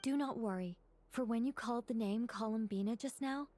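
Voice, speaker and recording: A woman's voice: fairly high pitch, a little fast, mellow, slight background noise, natural voice. A woman voicing a knowing angel mage in a video game, chatty and explanatory while trying to stay solemn. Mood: urging. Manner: explanatory, rambling, self-correcting.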